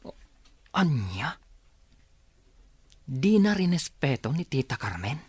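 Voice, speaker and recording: A man's voice: mid-range voice, sing-song, slow, husky, no room sound, heavily acted. A man acting a concerned, incredulous best friend in a drama, questioning and urging with blunt advice. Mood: curious, concerned.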